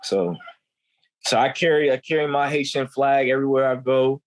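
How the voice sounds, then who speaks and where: A man's voice: medium pitch, steady tone, moderate pace. A young man in his twenties, a guest telling candid stories in loose, slangy conversation, often saying "you know what I'm saying.". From United States.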